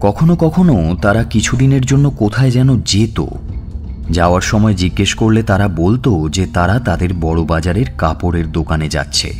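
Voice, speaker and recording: A man's voice: medium pitch, darkish tone. A man, reading a detective story in the first person as scripted dramatic audiobook narration.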